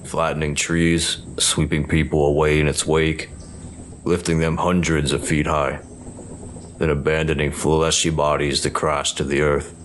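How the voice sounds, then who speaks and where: Very deep, flat-voiced male narrator. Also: a little hiss or hum. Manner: grave and measured. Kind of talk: scripted dramatic narration. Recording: music bed underneath.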